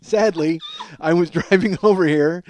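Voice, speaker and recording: Slightly hoarse, higher-voiced man, podcast host. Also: normal speed, darkish tone, low-quality recording. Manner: profane, self-deprecating, rambling. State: slightly hoarse from strained singing. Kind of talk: casual comedic solo monologue.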